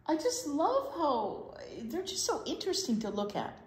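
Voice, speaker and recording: A woman's voice: sits high, big pitch swings, normal speed. A woman in her sixties presenting a children's storytime, talking and reading aloud expressively, with emphatic repeats of key words. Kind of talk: casual children's storytime talk and read-aloud. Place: library children's room. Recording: slightly echoey room, faint noise.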